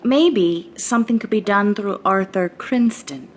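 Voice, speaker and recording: A young heiress acted out by a woman in a radio play. She is headstrong and sulky, speaking at an ordinary female pitch. Her manner is terse, petulant and insistent. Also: measured pace, slight background noise, lightly acted. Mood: guarded. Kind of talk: radio drama acting.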